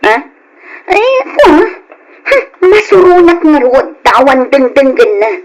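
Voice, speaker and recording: A woman's voice: sits high, big pitch swings, normal speed. An actress with an Ilocano accent playing a nagging, aggrieved young wife and mother in an old radio drama.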